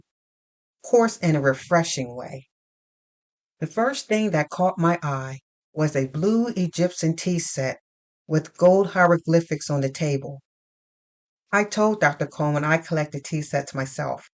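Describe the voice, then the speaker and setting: A woman giving a measured first-person narration for an audio drama, telling the story in short, deliberate phrases. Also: deep voice.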